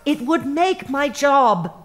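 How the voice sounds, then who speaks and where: An actress playing a smug, self-righteous human resources head in a satirical audio comedy, speaking earnest, patronizing corporate jargon. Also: female voice, high-pitched, sing-song, slow, heavily acted. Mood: smoothly patronizing.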